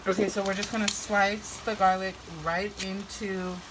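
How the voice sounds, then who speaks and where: A woman's voice: mid-range voice, level tone, moderate pace. Bawdy, joking woman cooking over a sizzling pan. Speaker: cooking vlogger. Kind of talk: casual cooking chat. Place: home kitchen with food frying. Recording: sizzling pan noise behind.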